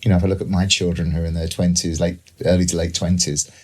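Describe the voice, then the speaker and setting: A middle-aged British man with a very deep voice takes part in a panel discussion. He explains his thinking reflectively, setting out lists and examples as an expert guest would. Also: little pitch movement, quick pace, a little mellow. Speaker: economist and author, panel guest.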